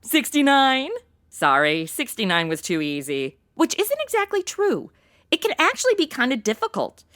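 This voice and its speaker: A woman's voice: sits high, huge pitch swings, normal speed, a bit bright, full character voice. A woman playing an irreverent, wisecracking modern woman in an audio drama comedy, with sarcastic, cheeky delivery.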